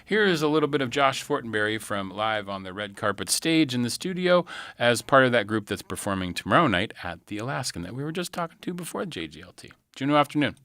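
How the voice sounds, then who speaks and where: A man's voice: mid-range voice, very animated, fast, sharp tone, no echo. A man hosting a live radio show, chatting and announcing in a casual way. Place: radio studio. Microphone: close studio microphone.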